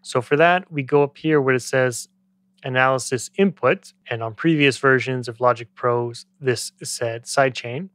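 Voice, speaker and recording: A man in his thirties giving a conversational, step-by-step software tutorial as an instructor, close to the microphone. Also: medium pitch, moderate pace, dry recording. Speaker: music production tutorial instructor.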